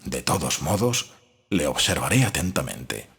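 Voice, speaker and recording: Very husky, low-voiced brooding doctor, read by a man. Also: very animated, unhurried, a bit bright, exaggerated voice. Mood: doubting, brooding. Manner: formal, reflective. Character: male doctor narrating his diary, sceptical, brooding, analytical. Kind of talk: dramatised audiobook diary narration.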